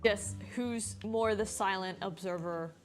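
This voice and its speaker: Woman game streamer, medium pitch, casual live commentary, chatty, thinking aloud, soft music behind. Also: unhurried.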